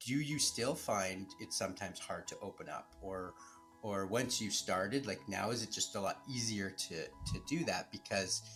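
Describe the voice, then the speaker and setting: Friendly low-voiced Canadian male host. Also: normal speed, a bit bright, soft background music. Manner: friendly, rambling questions with restarts and fillers. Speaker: podcast host. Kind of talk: conversational interview questions.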